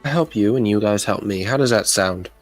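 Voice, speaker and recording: A man voicing a grinning male sage from another realm who bargains briskly. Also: on the low side, soft background music, natural voice. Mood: demanding.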